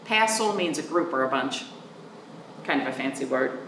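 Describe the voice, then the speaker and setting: A woman reading aloud to young children in an expressive, sing-song way, drawing out words and speaking simply and clearly for them. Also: rumbling low, moderate pace. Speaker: children's librarian, storytime reader. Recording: roomy echo, distant mic, faint noise.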